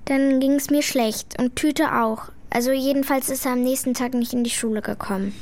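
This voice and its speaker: A woman's voice: high voice, fairly even intonation, normal speed, darkish tone. A female performer playing a reluctant, candid schoolgirl in a children's radio play, speaking in a hesitant, defensive, childlike way. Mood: subdued, confessing.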